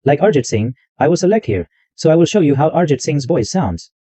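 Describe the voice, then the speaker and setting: A man hosting a tech tutorial, walking through software step by step and repeating himself as he goes. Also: on the high side, lively intonation, a little fast, husky, dry recording. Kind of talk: screen-recorded software walkthrough.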